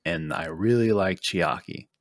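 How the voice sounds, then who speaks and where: A man's voice: deep voice, a little slow, dry recording, clean studio sound. A man co-hosting a casual group discussion. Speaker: podcast co-host.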